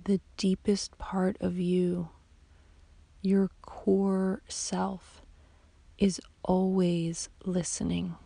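Very slow, soft breathy woman guiding meditation. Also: fairly low pitch, flat delivery, no room sound. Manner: soothing, unhurried, with long pauses. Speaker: yoga nidra meditation guide. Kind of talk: slow guided sleep meditation.

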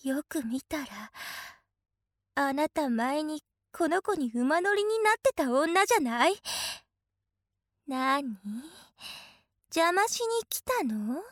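High-voiced, clingy yandere big sister. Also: female voice, big pitch swings, slow pace, long pauses, fairly bright tone, no room sound, studio quality, full character voice. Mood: hostile, possessive. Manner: clingy, coaxing, slipping into intense whispers. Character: obsessive, possessive yandere big sister, sweet but unhinged. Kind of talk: Japanese situation voice drama acting.

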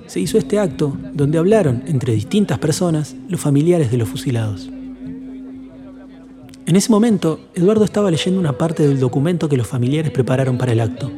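Male narrator, slightly high voice, solemn reflective read, reverberant sound with a music bed. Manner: measured, solemn and lyrical. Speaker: podcast host and narrator.

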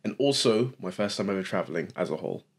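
Young man, lowish voice, teasing casual podcast co-host. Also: animated, fast talker. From Ghana. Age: young adult. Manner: playful, teasing, loud bursts of mock outrage. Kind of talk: casual banter.

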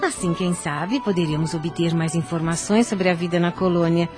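An actress playing a tender, pious, ailing mother in a Brazilian radio soap, speaking gently and affectionately with a Brazilian accent. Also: fairly low pitch, moderate pace, over music, natural voice. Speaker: radio drama actor.

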